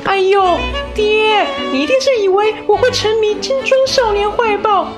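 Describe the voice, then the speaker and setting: A woman's voice: extremely high pitch, normal speed. A woman playing a spoiled, wheedling schoolgirl daughter in a comic radio drama. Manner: wheedling, childish. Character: spoiled whiny schoolgirl daughter pleading with father. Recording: loud music behind the voice.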